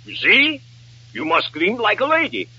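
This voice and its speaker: Casting: exasperated foreign director, theatrical, highish and hugely animated, on a muffled old noisy recording. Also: male voice, moderate pace, slightly rough, heavily acted. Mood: indignant. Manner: theatrical, volatile, shouting and screaming. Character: excitable, exasperated foreign amateur play director, a man.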